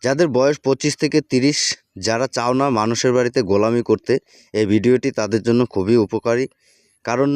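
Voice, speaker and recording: A man's voice: mid-range voice, a little mellow, clear, resonant tone, no room sound. A man narrating an informal video tutorial, addressing viewers familiarly and often repeating himself and his catchphrases. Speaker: tutorial presenter.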